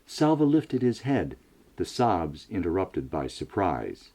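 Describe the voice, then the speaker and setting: A man narrating an audiobook dramatically, with a coached South Sudanese Dinka accent and a slightly husky voice. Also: low voice, very animated, slow pace, warm tone.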